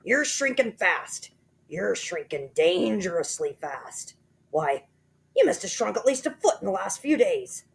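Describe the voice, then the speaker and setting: A woman's voice: medium pitch, wildly swooping pitch, normal speed, creaky, warm tone, pitched above the performer's own voice. A woman voicing a nasty old man who sneers and taunts his wife. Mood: gloating. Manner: sneering, teasing.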